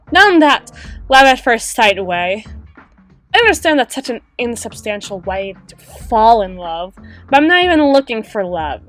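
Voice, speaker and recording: A woman playing a wistful, guarded female half-spider bouncer in an intimate roleplay monologue, musing with dramatic pauses and soft trailing phrases. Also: on the high side. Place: close-miked recording with music playing behind.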